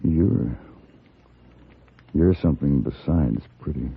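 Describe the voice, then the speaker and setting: A man's voice: very deep voice, takes it slowly, gravelly, husky, heavily acted. An actor playing a cool, commanding gunman with terse speech in an old radio western. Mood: guarded. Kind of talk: 1950s radio western acting. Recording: phone-like, noisy old radio recording.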